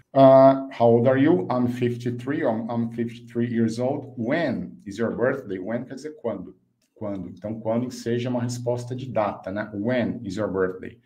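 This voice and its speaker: A man in his fifties with a Brazilian accent, a native Portuguese speaker, teaching an online English lesson and slowly enunciating example phrases. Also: medium pitch, a little mellow, low-quality recording. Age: 50s. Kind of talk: online language lesson, explaining and modelling phrases.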